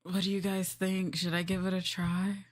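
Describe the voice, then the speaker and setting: A woman with a slightly low voice talks casually to her livestream audience. She is expressive and giggly, drawing out her exclamations as she hosts. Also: normal speed. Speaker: livestream host reading scripts to chat.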